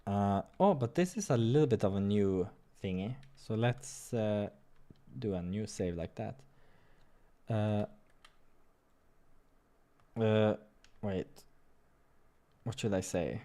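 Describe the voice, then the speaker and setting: A man's voice: on the low side, slow pace, pauses often, dark tone. Man reading a visual novel aloud. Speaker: host of a visual novel playthrough.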